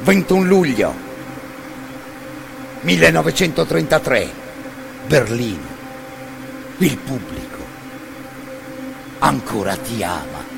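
A man performing a theatrical radio monologue in a solemn, incantatory manner, with deliberate repetition. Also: medium pitch, sing-song, vocal fry. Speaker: actor-narrator. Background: music.

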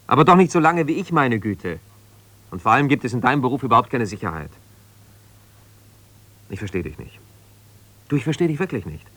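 An actor playing an embittered, ambitious lawyer husband who talks in an argumentative, colloquial way in a naturalistic radio play. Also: male voice, mid-range voice, big pitch swings, a little fast, pauses often, a bit bright, dry recording. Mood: exasperated. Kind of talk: naturalistic radio play, one side of a phone call.

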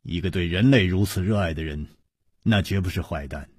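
Storybook role: smiling, wise gentleman wanderer read by a male narrator, low, very rough-edged voice, gentle delivery. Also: expressive, normal speed, dry recording, clean studio sound. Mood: amused. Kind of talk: audiobook character voice.

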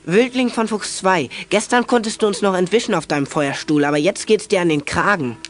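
A man's voice: high-pitched, brisk, background noise, lightly acted. A young male actor playing an eager teenage boy radio detective in a children's audio drama, speaking earnestly. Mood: accusing.

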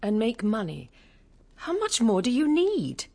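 An actress playing a worldly, frank bohemian singer in a radio drama, with a British accent and a wry, direct manner. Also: female voice, on the high side, huge pitch swings, unhurried, a little mellow, breathy edge, full character voice. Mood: brisk, persuasive.

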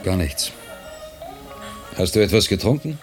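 A man's voice: sits low, sing-song, measured pace, soft background music. A tormented, brooding man, intensely dramatic. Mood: weary, withdrawn. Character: tormented, brooding man cut off in his own time. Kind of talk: German radio play acting.

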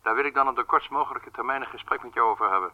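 A man's voice: medium pitch, very fast. Statesman, radio drama: stern, demanding leader, dull-sounding over a telephone line. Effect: telephone filter. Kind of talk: Dutch radio drama acting.

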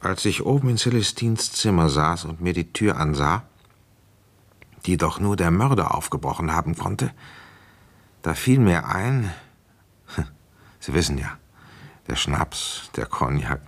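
Very deep, slow-spoken weary policeman. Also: exaggerated voice. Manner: deliberate, conversational, wry. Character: weary, shrewd provincial French policeman recounting his old case. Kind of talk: German radio play, first-person narration and dialogue.

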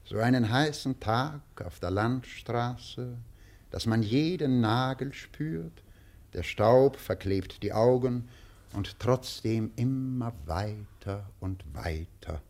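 An actor playing an earnest, courteous poet in a German radio play, speaking in a polite, measured, lyrical way. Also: male voice, mid-range voice, darkish tone. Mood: earnest, reminiscing. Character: earnest, courteous male poet with a vagabond past.

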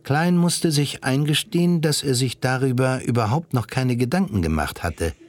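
A man narrating a literary radio drama in a measured storytelling way. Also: mid-range voice, expressive.

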